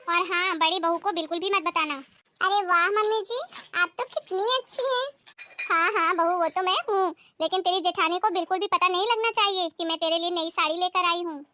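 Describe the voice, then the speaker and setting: A woman voicing scheming, gushing women of a family in an animated domestic story. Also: very high voice, slight background noise. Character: mother-in-law and her flattering younger daughter-in-law, scheming.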